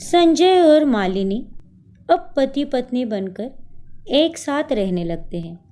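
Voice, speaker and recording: A woman's voice: high-pitched, expressive, takes it slowly, dark tone. A woman narrating a scripted story as its storyteller.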